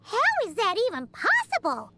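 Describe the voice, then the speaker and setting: A woman voicing a tiny, excitable female fairy-like companion in a game who talks about herself in the third person. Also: very high-pitched, normal speed, heavy background noise, exaggerated voice. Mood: curious.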